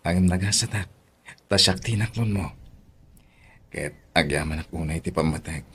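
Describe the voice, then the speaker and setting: A man's voice: low-pitched, normal speed, fairly bright tone, a little hiss or hum. A man playing a sweet-talking, proud young boyfriend in a Filipino radio drama, crooning and a little hesitant. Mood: romantic.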